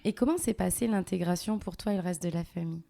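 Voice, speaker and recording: A woman in her thirties hosting a casual interview, asking questions in a gentle, measured and thoughtful way. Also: lowish voice, creaky, dry recording, studio quality. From Algeria, raised in France. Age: 30s. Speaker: host interviewing her mother.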